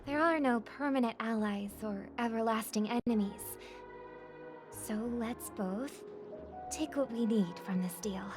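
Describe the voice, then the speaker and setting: A woman voicing a graceful, earnest young idol in a video game, speaking gently and deliberately. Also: on the high side, natural voice. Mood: earnest, resolute. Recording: music under the voice.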